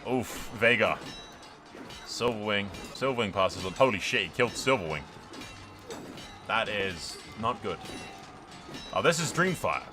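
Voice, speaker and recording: A man hosting a gaming video with excitable let's-play commentary, swearing freely and swinging between dramatic exclamations and dry understatement. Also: on the low side, a little slow, long pauses, music in the background.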